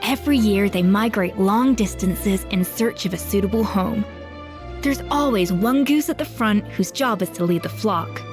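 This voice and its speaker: A woman's voice: mid-range voice, normal speed, music in the background, close to an everyday voice. A woman voicing an exacting, confident female master chef in a video game, articulate and lecturing, with assured delivery. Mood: reflective. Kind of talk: video game voice acting, scripted dialogue.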